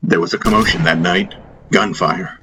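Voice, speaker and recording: A man's voice: on the low side, big pitch swings, moderate pace, gravelly, noisy background. A man narrating a first-person story as a dramatic audiobook.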